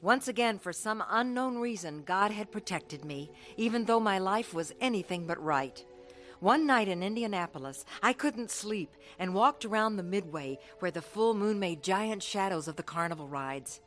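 Radio drama, female: actress playing young carnival worker, earnest and dramatic, bright forward voice, music underneath. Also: medium pitch, expressive, moderate pace, close to an everyday voice. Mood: reflective, earnest. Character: young woman carnival worker narrating her hard past. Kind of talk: radio drama narration and dialogue.

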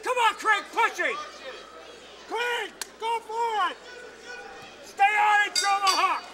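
A man shouting short urgent instructions at a fighter in an indoor boxing arena. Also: very high voice, slow. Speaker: spectator or cornerperson shouting instructions. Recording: very loud crowd, arena noise.

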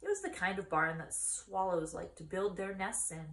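A woman in her thirties reading a children's story aloud in a deliberate, expressive manner. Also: on the low side, reverberant. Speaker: story reader. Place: small indoor room against a bare wall.

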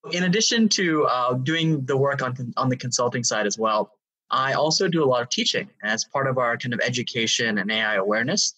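Guy in his 30s from hong kong, explains things step by step with lots of uhs, husky, a bit far from the mic. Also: medium pitch, pushed and bright. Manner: measured, explanatory, with frequent fillers. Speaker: guest, AI consultant and lecturer. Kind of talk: interview answers.

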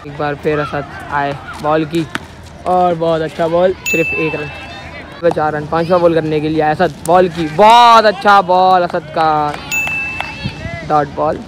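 A man's voice: on the high side, steady tone, a little slow, background noise. A man giving ball-by-ball commentary on an amateur street cricket match, calling each delivery in a repetitive set pattern, recorded outdoors on a phone.

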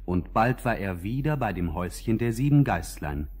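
A man narrating a scripted fairy tale as a calm, measured storyteller. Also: fairly low pitch.

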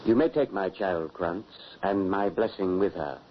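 Sly, domineering middle-aged huntsman, deep voice, 1940s radio play, dull sound. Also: measured pace. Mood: menacing, insistent. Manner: commanding, theatrical. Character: menacing, wily middle-aged Hungarian huntsman, a father. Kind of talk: 1940s radio drama acting. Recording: noisy muffled 1940s radio recording.

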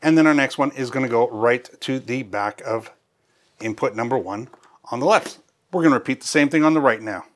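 Male presenter, 50s, mid-pitched, for a casual, practical step-by-step instructional video. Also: a little fast. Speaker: host of audio gear tutorial. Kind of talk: instructional walkthrough. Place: small indoor studio. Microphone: lavalier.

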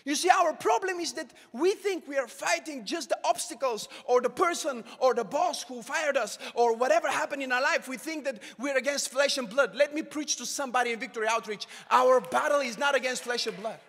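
A young man preaching a sermon to a congregation with a handheld mic, swinging from shouted calls to hushed asides. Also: very high voice, brisk, few pauses. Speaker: pastor. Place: large church auditorium with congregation. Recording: long hall reverb from the sanctuary.

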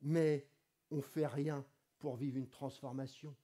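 A middle-aged man with a cold-hoarse voice preaching in a conversational way from a lectern in a church hall. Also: mid-range voice, level tone, a little slow, dark tone. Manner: conversational preaching, reads scripture with rising emphasis. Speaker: pastor. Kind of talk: sermon. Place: church hall with wooden wall, indoors.

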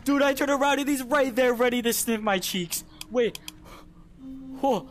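A man hosting a VR horror let's play, excitable, yelping and shouting when scared. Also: very high-pitched, moderate pace. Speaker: gaming host. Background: game music.

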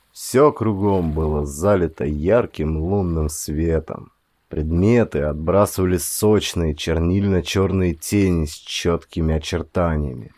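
Low-voiced male audiobook narrator. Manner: measured, expressive reading. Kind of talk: scripted first-person story narration.

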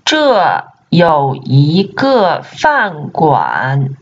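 A woman teacher reads vocabulary words and sentences aloud, repeating each one slowly and deliberately for learners. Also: low-pitched, wildly swooping pitch. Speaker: language teacher. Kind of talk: vocabulary drill reading. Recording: dull lo-fi sound.

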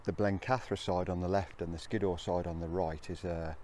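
A middle-aged man talks straight into a handheld camera out on an open hillside. His voice sits fairly low and he keeps it chatty and upbeat. A faint outdoor wash sits behind him. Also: fairly even intonation, brisk, darkish tone, a little hiss or hum. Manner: chatty, upbeat, laughs between remarks. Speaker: hiking vlogger. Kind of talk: casual vlog narration. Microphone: handheld camera at arm's length.

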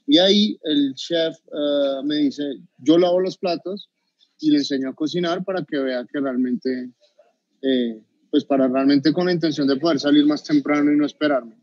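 A man's voice: fairly high pitch, normal speed, darkish tone, no echo. A man in his thirties with a Bogotá Colombian accent, a chef telling his story on a video call, hesitant with many fillers and restarts. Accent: Bogotá Colombian Spanish. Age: 30s. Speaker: chef guest. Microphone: video call webcam. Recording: dull dry video call audio.

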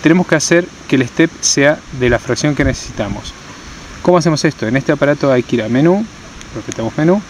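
A man's voice: on the high side, animated, fast, slight background noise, poor audio. A man giving a hands-on tutorial outdoors, explaining step by step and repeating key terms. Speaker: tutorial presenter. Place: outdoors on stony ground.

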